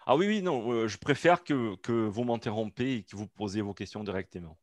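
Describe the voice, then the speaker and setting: A man's voice: on the low side, brisk, dry recording. A man speaking French as a second language, giving a seminar talk over a video call, hesitant with many fillers and restarts. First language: not French. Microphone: computer mic on a video call.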